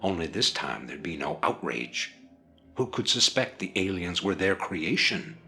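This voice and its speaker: A calculating rebel leader in a game cutscene, his voice very low with a bright, forceful edge. He talks in a measured, persuasive way. Soft game music runs underneath. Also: big pitch swings, vocal fry, heavily acted. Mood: grave. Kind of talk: video game cutscene dialogue.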